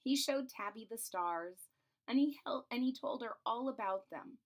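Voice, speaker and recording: A woman's voice: on the high side, lively intonation, normal speed. A woman reading a children's storybook aloud expressively, drawing out phrases and adding explanatory asides about the pictures. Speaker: storybook reader and channel host.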